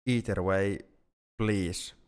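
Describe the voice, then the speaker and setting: A man playing a shy, tender, awkward alien in love in an intimate ASMR roleplay, speaking gently and haltingly, often repeating words. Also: low voice, fairly even intonation, clean tone. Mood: nervous, earnest.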